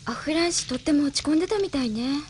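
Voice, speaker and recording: A woman's voice: high voice, lively intonation, quick pace, a little hiss or hum. A woman playing a sensitive, caring young rebel crew member in a Japanese audio drama. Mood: uneasy, concerned.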